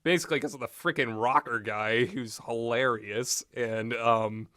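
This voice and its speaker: A man's voice: mid-range voice, fairly even intonation, unhurried, bright, forceful tone, dry recording, studio quality. A man giving a rambling, unscripted and casual video game review, readily amused as he talks. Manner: rambling, often breaks into amused laughter.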